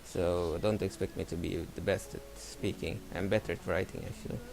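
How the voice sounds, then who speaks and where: A man's voice: deep voice, fairly even intonation, normal speed, gravelly. A man from Sweden with a Swedish accent, a non-native English speaker, talking casually about a game with frequent hesitations and fillers. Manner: hesitant, many fillers and restarts. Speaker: let's play creator.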